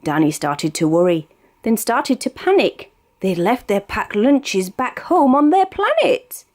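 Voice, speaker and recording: Female British storyteller for a rhyming picture book; expressive, sing-song delivery with a wide pitch range. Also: unhurried. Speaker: storybook reader. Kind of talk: read-aloud of a rhyming children's picture book.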